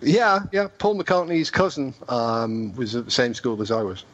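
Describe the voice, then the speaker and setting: A man's voice: mid-range voice, moderate pace, a bit bright. A man from near Liverpool with an English accent, co-hosting a radio talk show and telling stories in a chatty way. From near Liverpool, England. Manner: chatty storyteller. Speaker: co-host. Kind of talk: casual radio chat. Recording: dull lo-fi sound.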